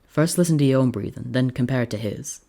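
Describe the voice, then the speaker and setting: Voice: medium pitch, fast talker, dark tone, natural voice. A reader voicing a kindly, exasperated frontier doctor in a story, plainspoken and folksy. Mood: reassuring.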